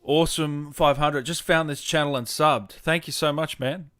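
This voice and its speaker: A man's voice: on the high side, steady tone, moderate pace, fairly bright tone, no echo, studio quality. A man hosting a gaming show, chatting casually with effusive, matey informality. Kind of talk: casual livestream interview and chat.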